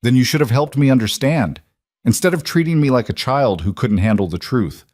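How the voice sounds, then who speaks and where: A man's voice: mid-range voice, expressive, fairly quick, a little mellow, no echo, voice pushed up, natural voice. A defiant, hurt young wife performed by a male audiobook narrator. She is guarded and stubborn, pressing her accusations with feeling. The recording is very dry. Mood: accusing. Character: defiant, hurt pregnant wife of a mafia boss. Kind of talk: dramatic audiobook dialogue.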